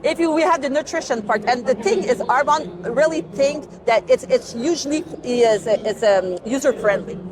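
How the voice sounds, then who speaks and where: A woman whose first language is Portuguese gives an earnest sales pitch in a slightly high voice, often hunting for words and repeating herself. A crowded expo hall chatters loudly around her. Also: moderate pace, few pauses, a crowd in the background. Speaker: exhibitor at a business expo. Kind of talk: casual sales pitch interview.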